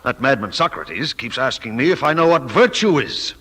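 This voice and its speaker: An actor in a 1950s satirical radio play playing an imperious, exasperated devil who blusters and rages. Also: male voice, medium pitch, very animated, moderate pace, a bit bright, slightly rough. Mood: exasperated, ranting. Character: furious, imperious devil, exasperated ruler of the damned. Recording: old radio recording with long reverb.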